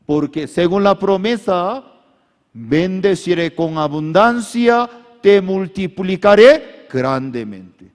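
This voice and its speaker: A man's voice: sits high, animated, slow. A male pastor preaching a sermon in simplified, non-native Spanish, calling on the congregation to repeat after him and raise their hands. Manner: preaching with call-and-response to the congregation. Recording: echoey hall.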